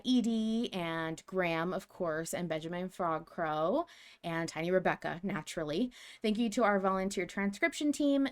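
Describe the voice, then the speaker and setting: A woman's voice: fairly low pitch, clear, resonant tone. A young woman hosting a podcast, talking in a casual, thinking-aloud way with frequent restarts. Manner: hesitant, many restarts, reads listener comments aloud. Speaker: podcast host. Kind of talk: casual analytical discussion.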